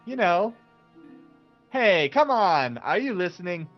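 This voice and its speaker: A man's voice: high voice, very animated, slow, exaggerated voice. A man voicing a young, exclaiming, melodramatic fairy from a video game, reading its dialogue aloud. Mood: coaxing. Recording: slightly dull audio, game music behind.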